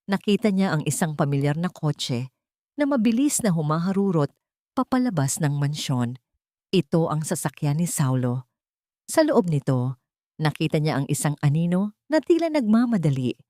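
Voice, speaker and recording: Female audiobook narrator, low register, solemn suspenseful drama reading, dry studio-like sound. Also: very animated, normal speed, mellow, dry recording. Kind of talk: dramatic scripted story narration.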